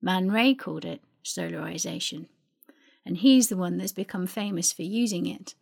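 Creaky-voiced woman presenting a talk. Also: lowish voice, normal speed. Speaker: speaker presenting a family archive. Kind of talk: narrated talk about art history.

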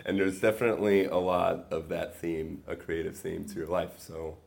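A man's voice: sits low, level tone, normal speed, vocal fry, darkish tone. A man hosting a podcast, eagerly prompting his guest in a casual interview and laughing often. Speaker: podcast host.

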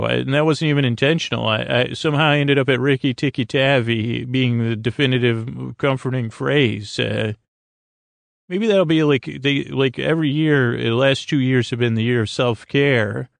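A man hosting a sleep podcast, rambling gently and hesitantly through silly, goofy asides in a gravelly, creaky voice. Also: mid-range voice, no echo. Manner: meandering, hesitant, halting asides. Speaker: podcast host. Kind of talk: rambling bedtime monologue to help listeners sleep.

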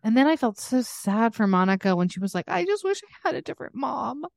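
A woman's voice: fairly high pitch, sing-song, fairly quick, creaky, dry recording. A woman co-hosting a podcast in casual conversation, reacting with dry understatement. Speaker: podcast co-host.

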